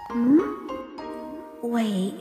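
Woman as a high, timid, echoey ghost girl. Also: drawn-out words, over music. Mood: shy. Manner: hesitant, soft-hearted, anxious. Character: timid, gentle, apologetic young ghost girl. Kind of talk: cartoon comic dub acting. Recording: echoing reverb effect.

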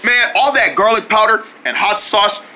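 High, bright man acting out excitable cartoon guy. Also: normal speed, heavily acted. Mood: casual bickering. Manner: frantic, exaggerated, dramatic delivery. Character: excitable, panicky cartoonish characters in a squabbling friend group.

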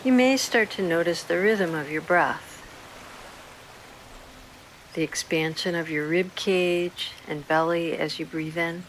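A woman with a fairly low voice leads a guided meditation, speaking slowly and soothingly with gentle pauses. Faint outdoor nature ambience sits quietly behind her. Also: slight background noise, rough recording.

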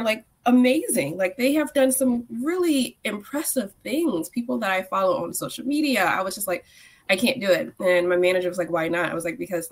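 A young woman, a guest on a video call, telling a story in a lively way with many "like"s and acted-out quotes. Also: medium pitch. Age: young adult. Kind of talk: casual video-call chat. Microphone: video call, laptop mic.

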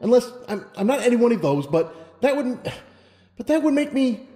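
A man's voice: very high-pitched, sing-song, moderate pace, dark tone, reverberant, in a large hall, pitched above the performer's own voice. A man voicing an anxious, self-doubting young unicorn mare in a storybook reading, earnest and hesitant. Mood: flustered denial. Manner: hesitant, stammering protests. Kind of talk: audiobook character reading.

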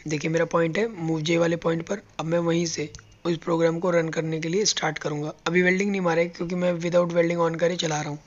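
A man's voice: on the high side, flat delivery, quick pace, dull audio. A man teaching robot welding step by step, explaining each button as he presses it on a factory floor. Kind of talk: hands-on tutorial.

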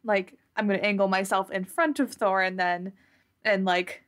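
A woman with a mid-pitched voice is playing a tabletop roleplaying game. She narrates her character's actions casually, improvising as she goes. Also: steady tone, moderate pace, a bit bright, clear, resonant tone.

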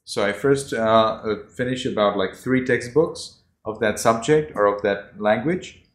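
A man with a low voice explains things to a class over a video call. He rambles and repeats himself, and his laptop mic picks up an echoey room. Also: a little slow. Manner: rambling, repeats himself, uses "you know". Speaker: teacher. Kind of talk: casual online class talk.

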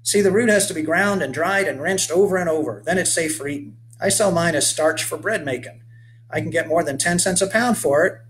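A man's voice: a little high, brisk, fairly bright tone, slight background noise, voice pushed up, lightly acted, poor audio. A man voicing a weathered old frontier boatman who speaks in folksy, old-fashioned phrases. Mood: hearty, chatty. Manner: folksy old-fashioned speech, calls the boy lad and son. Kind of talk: voiced character in a read-aloud story.

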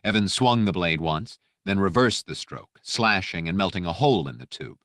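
Very deep-voiced male audiobook narrator. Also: expressive, normal speed, fairly bright tone, no room sound, clean studio sound. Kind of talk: scripted dramatic audiobook narration.

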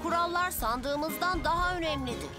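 A woman voicing an eager, boastful little boy rescue motorbike in a children's cartoon dub, excitable in delivery. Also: high-pitched, normal speed, music in the background, close to an everyday voice. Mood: curious.

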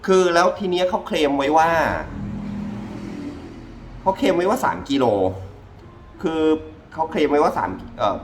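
A man's voice: a little high, animated, unhurried, long pauses. A man giving a casual hands-on gadget review, informal and repeating himself as he demonstrates step by step. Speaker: product reviewer. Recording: clearly noisy ambient background.

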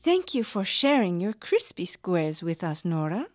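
An actress playing a gentle, patient mother in a children's cartoon, explaining things in a measured, softly formal way. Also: lowish voice, very animated, soft background music. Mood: warm, polite. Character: gentle, patient mother hosting a tea ceremony.

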